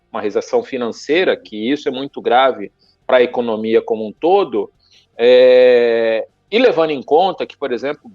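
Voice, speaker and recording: A man hosting a casual interview show, lively, his voice jumping up when he puts his questions. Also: no room sound. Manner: lively, pitch jumps up when asking questions. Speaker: talk show host. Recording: dry, dull lo-fi sound.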